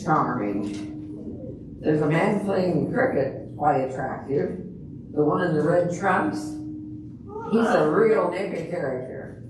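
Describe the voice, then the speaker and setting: Older woman as a dry, low-voiced friend, echoey hall. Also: expressive, slow, long pauses. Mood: put out. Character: dry, chatty older woman, long-suffering best friend. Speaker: amateur readers theater performer. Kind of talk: comedic stage reading. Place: large echoey room before an audience. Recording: echoey hall from far off, noisy.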